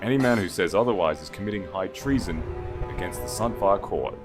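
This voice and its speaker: A man's voice: sits low, lively intonation, brisk, over music. Man playing a desperate, pompous regent. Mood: desperate pleading. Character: cowardly, desperate male Lord Regent, pompously legalistic.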